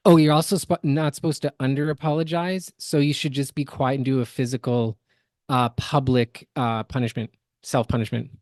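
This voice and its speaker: A woman's voice: very deep voice, fairly even intonation, normal speed, a little mellow, dry recording, clean studio sound. A woman co-hosting a podcast, giving casual reaction commentary in an analytical, critical, explanatory manner. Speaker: podcast co-host.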